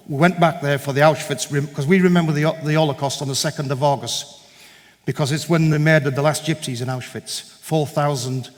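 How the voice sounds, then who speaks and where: A man with a somewhat high voice tells a rambling story to a church gathering and acts out the conversations he describes. His words ring out through the long echo of a big hall. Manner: conversational, digressive, with quoted dialogue. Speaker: guest speaker at a church meeting. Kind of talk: storytelling talk to a congregation. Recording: long reverb of a large hall.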